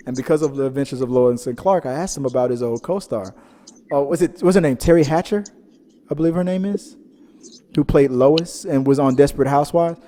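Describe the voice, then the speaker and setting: A man hosting a casual pop-culture show, telling stories in a rambling, animated way. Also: medium pitch. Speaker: podcast host. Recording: reverberant, echoing room sound.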